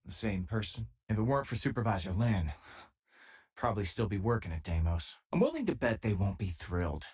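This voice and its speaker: An actor playing an easygoing, loyal and wry space miner companion in a video game, with a husky, conversational delivery. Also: male voice, lowish voice, very animated, brisk, darkish tone. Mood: friendly, casual.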